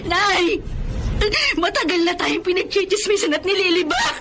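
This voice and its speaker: An actress playing a wronged, defiant young daughter in a Filipino radio drama, tearful and shouting, with a Filipino accent. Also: female voice, extremely high pitch, animated, moderate pace, crowd noise. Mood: tearful outrage. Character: Jonah, wronged angry young daughter demanding justice. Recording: loud music bed behind the voice.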